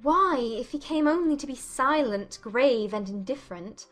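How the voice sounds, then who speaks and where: A woman plays a proud young lady in anguish, voiced high. She exclaims her vexed, lovelorn thoughts dramatically, as in a period novel audiobook, with music underneath. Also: expressive, moderate pace, a bit bright. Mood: vexed, hurt. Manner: dramatic, exclaiming inner monologue.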